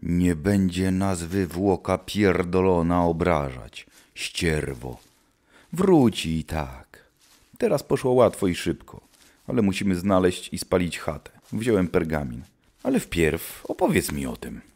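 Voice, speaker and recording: Male actor as seasoned witch hunter: low, solemn, grim, archaic storytelling for audio drama. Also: very animated, measured pace, creaky, darkish tone, heavily acted. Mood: scornful.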